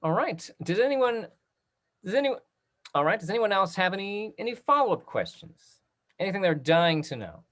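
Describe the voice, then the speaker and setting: The host of an online theater talkback speaks in a mid-range voice with very lively, swinging intonation. The delivery is casual and encouraging, coming over a video call. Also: medium pitch, normal speed.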